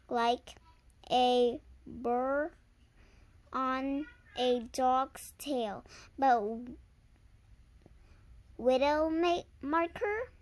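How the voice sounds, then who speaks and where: A young girl reading a tall tale aloud informally, stumbling over words, correcting herself and adding asides to viewers. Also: high voice. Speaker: reader of a readers theater script. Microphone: handheld camera held by the reader.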